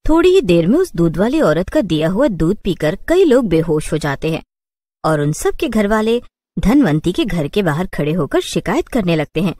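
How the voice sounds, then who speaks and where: A woman's voice: on the low side, sing-song, normal speed, mellow, dry recording. A woman storyteller reading scripted narration for a children's cartoon tale.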